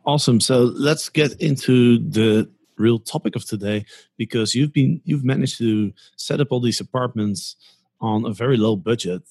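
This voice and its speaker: A man hosting a casual interview podcast, who works through figures out loud and restarts his phrases. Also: medium pitch, normal speed, slightly rough. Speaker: podcast host.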